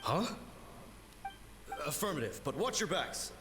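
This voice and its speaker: A man's voice: fairly high pitch, big pitch swings, measured pace, bright, forceful tone, echoey room, in a large hall, a little hiss or hum, exaggerated voice. A man playing a dutiful, loyal samurai in a video game, speaking formally and earnestly. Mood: resolute.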